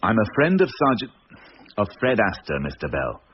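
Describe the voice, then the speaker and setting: Stern police inspector, clipped, highly varied intonation, caring underneath, radio drama, muffled lo-fi sound. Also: male voice, wildly swooping pitch, normal speed. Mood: probing, firm. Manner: questioning, clipped, authoritative. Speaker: actor. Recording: dull lo-fi radio audio, faint noise.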